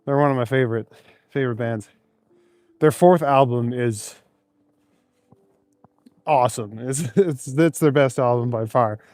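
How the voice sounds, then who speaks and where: A man's voice: medium pitch, measured pace, pauses often, a little mellow, no room sound. A man live streaming while out on cold city streets, reading chat aloud in an easygoing, chuckling, rambling way. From Canada.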